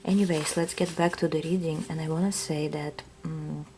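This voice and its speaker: A woman with a Russian accent, giving a soft, hesitant tarot reading that often drops to a whisper. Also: deep voice, fairly even intonation, moderate pace, a little hiss or hum. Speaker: tarot reader. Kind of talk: intuitive reading to viewers.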